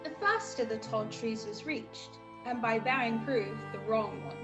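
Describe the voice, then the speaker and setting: Radio play narrator: boy adventurer female actor, high-pitched, measured storytelling over soft music. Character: young cabin boy narrating his adventure. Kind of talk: scripted dramatic narration.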